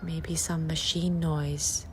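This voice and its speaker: A low-voiced woman leads a guided meditation at a very slow pace. She speaks softly and breathily in short, gentle phrases, leaving long pauses. Faint nature ambience sits behind her voice. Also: level tone, a little hiss or hum.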